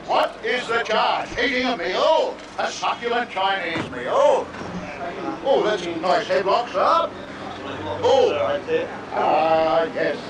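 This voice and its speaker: A man orating loudly and theatrically in a pompous, indignant, grandiose manner. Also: very high voice. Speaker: man being arrested. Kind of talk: loud theatrical public oration. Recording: echoey distant archive footage, crowded street noise.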